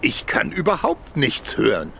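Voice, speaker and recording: Commanding senior officer, gravelly, lively delivery. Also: male voice, medium pitch, slight background noise, heavily acted. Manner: brisk, commanding. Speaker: police chief. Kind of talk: radio play acting.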